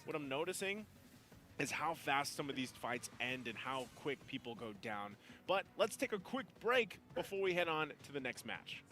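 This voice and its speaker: A man casting an esports match, breaking down plays in a measured, explanatory way with frequent "you know" asides. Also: on the high side, big pitch swings, sharp tone. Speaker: esports caster. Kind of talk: game analysis commentary.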